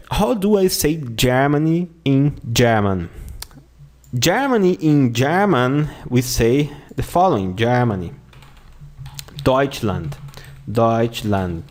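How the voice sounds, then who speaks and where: Slow Brazilian man teaching a lesson. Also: mid-range voice, darkish tone. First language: Portuguese. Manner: slow, repeats words and phrases often, says "okay?" a lot. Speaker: language teacher. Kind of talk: online language lesson.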